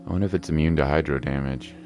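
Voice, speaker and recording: Man, very deep voice, casual game commentary, playful, repetitive phrasing, soft music underneath. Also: rapid-fire. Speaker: game streamer.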